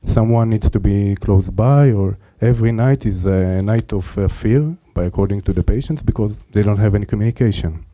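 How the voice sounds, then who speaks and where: Deep dark-voiced man, dry sound. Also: dry recording. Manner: earnest, halting with fillers. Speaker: startup founder answering questions. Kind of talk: panel answer.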